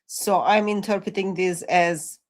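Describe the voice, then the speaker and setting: A woman's voice: lowish voice, a little slow. A woman co-hosting a live stream who talks bluntly and teases. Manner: blunt and teasing. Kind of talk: casual live-stream chat.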